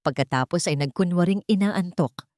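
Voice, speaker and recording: A woman's voice: on the low side, moderate pace, a little mellow, dry recording, studio quality. A woman storyteller reading a dramatic scripted narration in a suspenseful, emotive manner.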